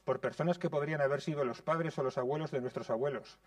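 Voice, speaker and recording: A man in his thirties from Spain, reading a prepared lecture in a measured way in a conservatory lecture room. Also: on the high side, no room sound, distant mic. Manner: measured, reads from notes.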